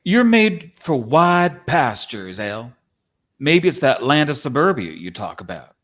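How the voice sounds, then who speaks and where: A man's voice: medium pitch, sing-song, a little slow, a bit bright. A man playing a folksy, tender, caring cowboy-like companion in a dramatic play reading. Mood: tender, encouraging.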